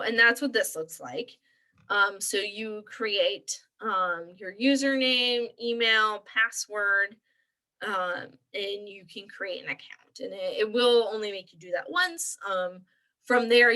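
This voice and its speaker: A woman's voice: medium pitch, bright, forceful tone, poor audio. A young woman librarian walking viewers through an online resource in a chatty, upbeat way with lots of fillers. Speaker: librarian presenting a tutorial. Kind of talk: screen-share walkthrough.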